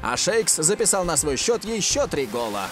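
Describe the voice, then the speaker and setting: Cartoon sportscaster, dramatic announcer delivery, fairly high lively voice with music underneath. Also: male voice, normal speed. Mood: impressed. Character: dramatic male football match commentator.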